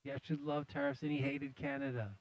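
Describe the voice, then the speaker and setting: A man's voice: mid-range voice, level tone, fast. A man hosting a talk show with casual, loosely improvised, hesitant commentary. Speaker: show host. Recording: dull, roomy sound.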